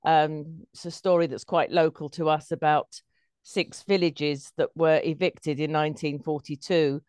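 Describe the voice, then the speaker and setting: A woman's voice: low-pitched, flat delivery, a little slow, darkish tone, no echo. A middle-aged woman, a stage performer telling anecdotes as an interview guest.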